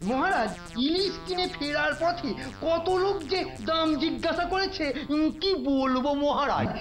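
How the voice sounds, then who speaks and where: A performer voicing a fussy, boastful male royal minister in a cartoon, excitable, stammering and obsequious. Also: high-pitched, measured pace, over music. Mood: gushing. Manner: stammering, gushing, obsequious to the king. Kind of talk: Bengali cartoon voice acting.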